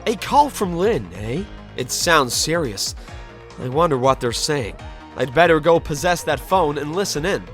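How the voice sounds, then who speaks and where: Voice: mid-range voice, big pitch swings, measured pace, soft background music. An actor playing a curious, polite amnesiac spirit detective, musing in a game fandub. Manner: reflective, polite, talking to themself. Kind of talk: game fandub narration and dialogue.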